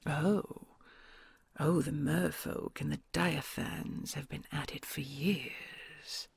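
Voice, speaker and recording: A woman voicing a kind, gentle female red dragon queen in an ASMR audio roleplay, talking in a soft, soothing, mostly whispered way. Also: sits low, fairly even intonation, takes it slowly, gravelly, husky, dry recording, exaggerated voice. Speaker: voice actor.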